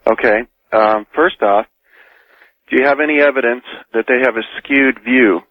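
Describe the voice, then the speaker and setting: A man's voice: medium pitch, moderate pace, dry recording. An American man calling in to a radio debate by telephone, argumentative and probing with pointed questions. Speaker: caller debating on a radio show. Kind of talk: live phone-in debate. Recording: muffled phone call over old radio.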